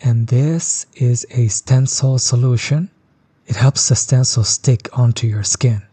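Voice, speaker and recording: A man playing a chill, friendly tattoo artist in an intimate audio roleplay, soft-spoken, easygoing and reassuring. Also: medium pitch, measured pace, husky, no echo. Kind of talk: intimate one-sided audio roleplay, casual chat.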